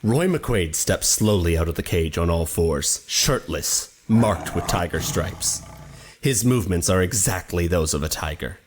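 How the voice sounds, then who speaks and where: A man playing a grave, controlling narrator and director in an audio drama, measured and ominous. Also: sits low, expressive, a little hiss or hum. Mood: hushed awe.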